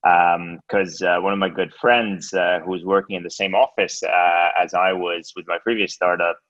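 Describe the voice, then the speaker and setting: Low-voiced man from Estonia, halting speech. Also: little pitch movement, fairly bright tone, dull audio. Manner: hesitant, many fillers and restarts. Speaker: guest, exchange co-founder. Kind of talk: casual interview.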